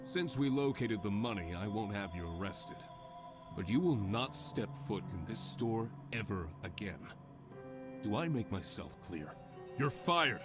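Stern, animated store boss. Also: male voice, lowish voice, unhurried. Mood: cold, authoritative. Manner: formal, authoritative, condescending. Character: stern, accusing male store boss in a suit. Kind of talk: visual novel voice acting. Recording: music behind.

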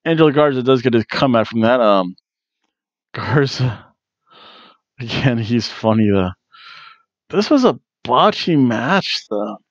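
Slow, chatty man on a headset mic. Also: mid-range voice, lively intonation, dry recording, muffled recording. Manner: chatty, jokey, acts out crowd and wrestlers. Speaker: wrestling review host. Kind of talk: casual review talk. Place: home room with bookshelves.

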